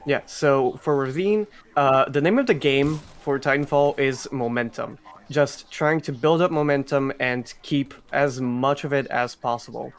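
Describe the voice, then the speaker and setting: A man with a medium-pitched voice commentates on a video game speedrun. He explains things in a halting way, doubling back over his words. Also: clean tone.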